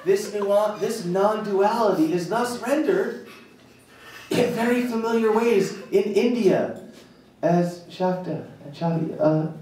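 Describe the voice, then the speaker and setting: A man giving a theatrical live spoken-word reading of a monologue, with emphatic dramatic pauses and a playful manner. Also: high voice, warm tone. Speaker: reader performing a written monologue. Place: indoor jazz club stage, live event. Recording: very echoey live venue, distant mic, noisy.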